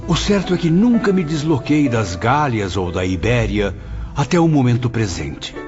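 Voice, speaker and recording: A man's voice: medium pitch, big pitch swings, moderate pace, natural voice. A man playing a bitter, wretched sinner, confessing formally and dramatically in an audiobook. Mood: bitter confession. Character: bitter, wretched male sinner confessing his evil past. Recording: muffled, under loud music.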